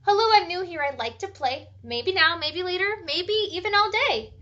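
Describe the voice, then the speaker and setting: Lady doing a little peanut butter boy from a picture book, high and bright, singsong, super eager to make friends. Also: normal speed, muffled recording. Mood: eager, hopeful.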